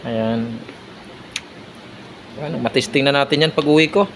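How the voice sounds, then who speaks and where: A man's voice: fairly high pitch, a little slow, a bit bright. Filipino man vlogging casually with background noise. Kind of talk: casual vlog chat to followers.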